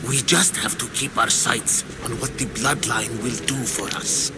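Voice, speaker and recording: An actor playing a smug, scheming cult conspirator in a video game, measured and insinuating. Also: male voice, mid-range voice, very noisy, close to an everyday voice. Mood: conspiratorial. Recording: loud music under the dialogue.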